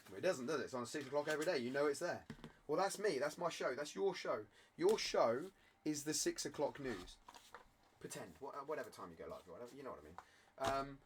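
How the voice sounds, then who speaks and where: A man who streams and sells cards, talking earnestly to camera in a rambling way, his voice croaky from a cough. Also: medium pitch, expressive, fairly quick, background noise. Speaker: card-selling live streamer. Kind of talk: candid motivational talk to camera.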